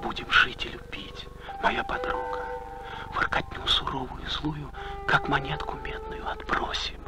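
A man playing an ardent young lover, whispering love poetry theatrically in an animated film. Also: on the high side, measured pace. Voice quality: breathy, whispering. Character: ardent young male lover in ancient Greece. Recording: film voice under loud music.

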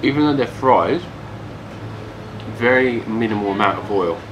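A man's voice: medium pitch, animated, moderate pace, lo-fi sound. A young man with an English accent giving a casual food review while eating at a table. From England. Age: young adult. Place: small room, seated at wooden table. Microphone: camera across the table. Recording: noisy ambient room recording.

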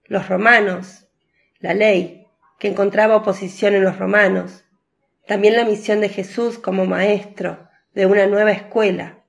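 A female narrator reads a scripted devotional story for radio. Her delivery is slow and composed, with plenty of vocal fry in a mid-pitched voice. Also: fairly even intonation.

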